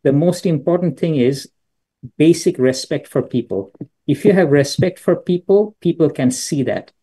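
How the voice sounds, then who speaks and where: A man's voice: on the high side, expressive, normal speed, dark tone, breathy edge. A middle-aged man from Bangladesh, a business school professor, answering a question on a video call with thoughtful anecdotes and frequent restarts. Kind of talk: answering questions in an online lecture.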